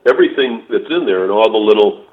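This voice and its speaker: An older man calls in on a muffled, lo-fi phone line and tells rambling stories. He keeps restarting his sentences, and his voice sits a little low. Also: animated, fast. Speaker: author guest. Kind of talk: casual storytelling interview. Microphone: phone call.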